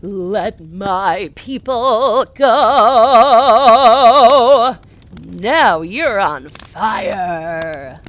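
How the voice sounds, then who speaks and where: A woman's voice: mid-range voice, animated, extremely slow, fairly bright tone, soft background music. A woman voicing God as a burning bush in a children's puppet show, bossy and encouraging, with an emphatic, coaxing delivery. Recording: noticeable background noise.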